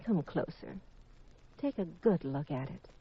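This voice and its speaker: Flirty widow on vintage radio. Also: female voice, sits low, animated, unhurried, a little hiss or hum. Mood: seductive. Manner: coy, teasing, unsentimental. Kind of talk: 1950s radio detective drama acting. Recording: dull vintage radio recording.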